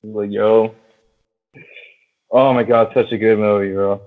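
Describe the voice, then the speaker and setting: Young male podcast co-host, low voice, slangy and enthusiastic, phone-like sound with long reverb. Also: flat delivery, slow pace. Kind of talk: casual film discussion among friends.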